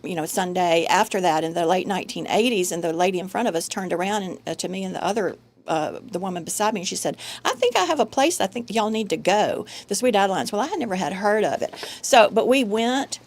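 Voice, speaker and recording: A middle-aged woman speaks as a guest on a local radio show. She talks in a friendly, earnest way and laughs easily. Her voice sits at a middle pitch for a woman. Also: lively intonation, fast talker. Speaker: guest, chorus member. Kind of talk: casual interview. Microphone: studio microphone.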